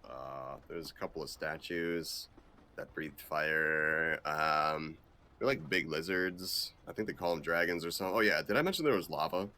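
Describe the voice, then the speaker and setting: Man acting as gruff action agent, very low pitch, bright edge, cocky, deadpan, dim-witted, comedic game dub. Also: moderate pace. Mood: hesitant, sardonic. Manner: deadpan, hesitant, swearing banter. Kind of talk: comedic fan dub of a game visual novel.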